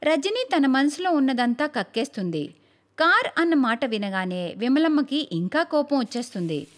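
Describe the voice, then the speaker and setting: Female cartoon storyteller, high voice, very lively intonation. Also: normal speed. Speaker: narrator. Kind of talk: scripted storytelling narration for a cartoon.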